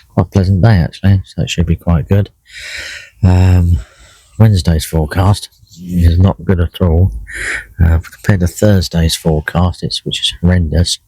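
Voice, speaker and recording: A middle-aged man with a croaky voice, chatting casually by himself while out fishing, with lots of fillers and restarts. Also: low-pitched, dry recording, rough recording. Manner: relaxed, rambling, many fillers and restarts. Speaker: carp angler vlogging a session. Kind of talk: casual solo vlog commentary. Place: in a fishing bivvy by a lake.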